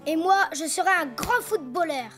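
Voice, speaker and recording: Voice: extremely high pitch, lively intonation, normal speed. An actor voicing an eager, playful, imaginative little boy donkey in a children's cartoon. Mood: playful, excited. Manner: playful, exclaiming. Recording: music behind the voice.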